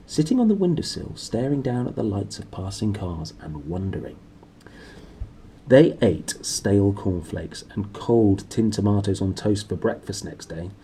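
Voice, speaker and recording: A man reading a children's novel aloud as an animated storyteller. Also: fairly low pitch, a little hiss or hum. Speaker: storybook reader.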